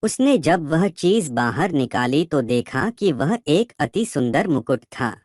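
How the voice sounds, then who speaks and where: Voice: mid-range voice, very animated, slow pace, darkish tone, no echo, clean studio sound. A storyteller narrating a scripted moral tale for children.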